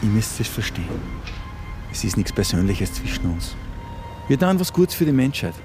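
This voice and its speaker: A low-pitched Austrian man, a domineering hijacker who lectures and needles his hostages in dialect. His delivery swings widely in pitch, radio drama style, with music behind him. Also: sing-song, normal speed. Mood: preaching. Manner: bossy, sarcastic, lecturing. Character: domineering hijacker, a conspiracy-minded man holding two hostages.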